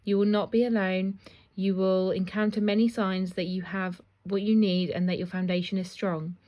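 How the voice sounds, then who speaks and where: A woman with a slightly croaky voice gives a casual spiritual card reading, chatty and self-deprecating. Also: medium pitch, flat delivery, moderate pace. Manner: chatty, warm asides, often laughs at herself. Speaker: intuitive card reader.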